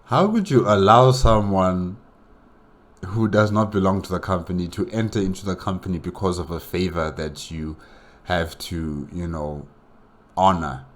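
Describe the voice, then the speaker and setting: A man's voice: deep voice, moderate pace. A man hosting a casual solo reaction video, commenting incredulously with rhetorical questions.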